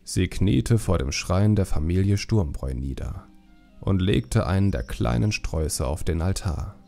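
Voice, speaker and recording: Low, gravelly male storyteller. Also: expressive, unhurried, dark tone, soft background music. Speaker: audiobook narrator. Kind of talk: scripted storytelling narration.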